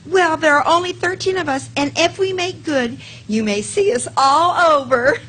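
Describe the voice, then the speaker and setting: A woman's voice: high voice, moderate pace, a bit bright. A woman playing a perky, polite young quiz contestant in an old-time radio comedy. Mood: perky, modest. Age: young adult.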